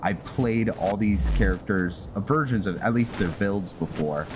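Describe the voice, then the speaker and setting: A man giving casual game commentary as he plays, thinking aloud in a halting way. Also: fairly low pitch, noisy background. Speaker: gaming video host. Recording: loud game music behind the voice. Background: loud video game music.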